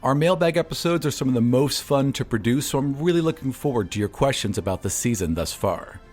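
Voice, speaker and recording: A man's voice: mid-range voice, animated, fairly quick, darkish tone, faint music behind. A man speaking as game master and host of a fantasy tabletop podcast.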